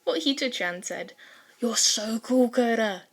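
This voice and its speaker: A woman voicing a polite, excitable teenage boy who rambles apologetically and gets easily flustered in an audio fan story. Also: fairly high pitch, measured pace. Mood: bright, curious.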